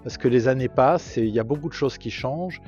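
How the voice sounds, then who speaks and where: Man mid 70s in an interview, mellow medium voice, bit of music behind. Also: mid-range voice, fast talker. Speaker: retired volunteer mentor. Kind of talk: interview testimonial. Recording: slightly dull sound, music behind.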